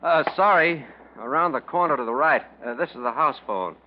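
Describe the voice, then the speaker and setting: A man's voice: fairly high pitch, lively intonation, a little fast, natural voice. Bit part, male actor: obliging, casual bartender, 1940s radio acting, muffled noisy recording. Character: plain-spoken, obliging roadhouse bartender.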